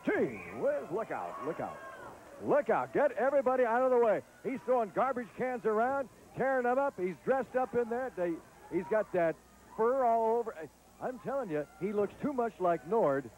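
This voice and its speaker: A man's voice: extremely high pitch, sing-song, moderate pace, darkish tone, poor audio. A male wrestling TV host, commentating ringside and hard-selling upcoming events. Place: arena commentary booth.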